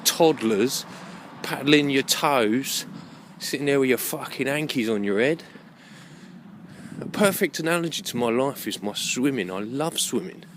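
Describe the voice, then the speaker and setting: A man's voice: mid-range voice, expressive, a little slow. A man giving a rambling, unscripted, opinionated monologue to camera as a video blogger, often repeating himself and swearing freely. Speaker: video blogger with his own channel. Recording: clear background noise, rough amateur recording.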